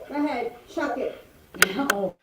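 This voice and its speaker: A woman on a ghost hunt who reacts quickly and coaxes spirits to act. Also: high-pitched, over a noisy crowd. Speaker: paranormal investigator. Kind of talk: casual ghost-hunt chatter. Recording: echoey room, far mic, loud noise.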